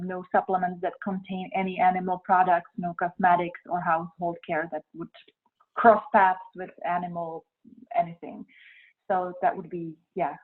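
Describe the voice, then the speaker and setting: A young woman with a Czech accent answering interview questions, hesitant and thoughtful, with many ums and uhs. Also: fairly low pitch. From Czech Republic. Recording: tinny phone-like sound.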